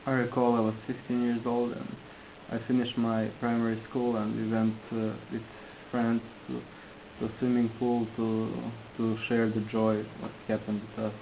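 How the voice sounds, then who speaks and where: A man interpreting into English, halting and restarting in phrasing. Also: medium pitch, monotone, mellow, slight background noise, rough recording. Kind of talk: consecutive interpreting.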